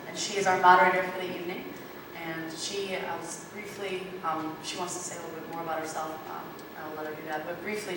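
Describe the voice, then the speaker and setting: A woman introducing a speaker at an event, hesitant and full of fillers. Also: fairly low pitch. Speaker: organizer introducing the moderator. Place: event room. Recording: echoey hall mic from far off, background noise.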